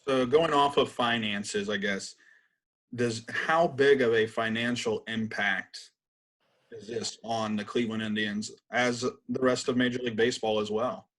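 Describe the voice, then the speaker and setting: A man's voice: medium pitch, steady tone, a little slow, a bit bright, rough recording. A man co-hosting a podcast, casually and somewhat tentatively phrasing his questions. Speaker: podcast co-host, interviewer. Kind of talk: casual interviewing.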